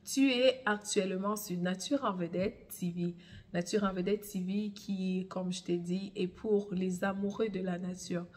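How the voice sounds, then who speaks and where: A woman in her thirties with a slight cold, hosting an advice vlog in a measured, emphatic way and pausing to search for words. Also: mid-range voice, steady tone, a little mellow. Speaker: host of a natural health and hair care channel. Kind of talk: explanatory advice vlog addressed to the viewer. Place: small room at home, indoors.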